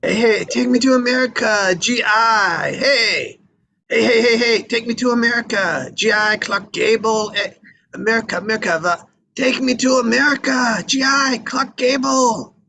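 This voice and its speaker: Man acting high-pitched Sicilian bodyguard, young, gravelly, brash, star-struck, pleading, film script table read. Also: moderate pace, sharp tone, a little hiss or hum, heavily acted. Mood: excited, pleading.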